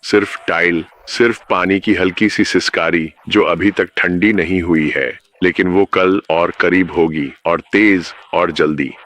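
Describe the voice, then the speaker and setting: A man's voice: low-pitched, steady tone, unhurried, hardly any pauses, fairly bright tone. A man narrating a scripted horror story, speaking ominously and addressing the listener directly.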